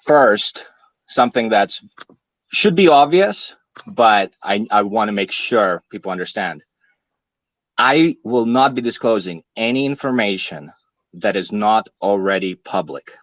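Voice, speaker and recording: A man in his thirties, born in Ukraine, telling his own story in a measured, halting way, with frequent fillers. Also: on the low side, animated, a bit bright, dry recording. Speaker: podcast speaker. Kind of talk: monologue, personal account.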